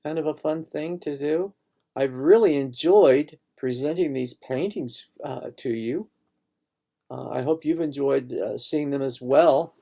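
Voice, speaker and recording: A man's voice: mid-range voice, a little slow, gravelly, dark tone. A man, a painter, casually walking viewers through his own online exhibition, rambling and thinking aloud with restarts. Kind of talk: casual live gallery tour.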